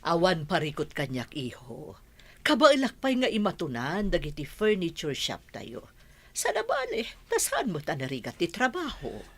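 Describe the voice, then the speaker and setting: A woman's voice: fairly low pitch, wildly swooping pitch, moderate pace, fairly bright tone, breathy edge, exaggerated voice. A woman playing a good-humored middle-aged mother and shopkeeper in an Ilocano radio drama. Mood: warm, reassuring.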